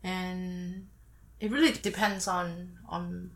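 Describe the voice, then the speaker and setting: Woman, slow pace, hesitant, giggly, non-native English, echoey room. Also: on the low side, flat delivery, vocal fry. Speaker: guest. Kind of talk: casual chat.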